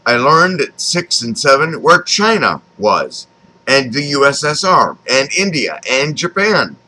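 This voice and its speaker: An American man in his fifties narrates his own life story from a script. His voice is bright and forward, with lively rises and falls in pitch. He phrases deliberately and pauses often. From Texas, United States. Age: 50s. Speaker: author reading his own memoir. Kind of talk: scripted autobiographical narration, read aloud.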